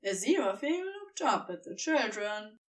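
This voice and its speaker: A woman's voice: medium pitch, very animated, normal speed, distant mic. A woman reading scripted story narration as the narrator of an audio fiction piece.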